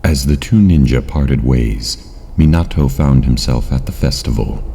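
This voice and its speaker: A man narrating a fan-made audio drama with a very deep, gravelly voice. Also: measured pace, warm tone, slight background noise.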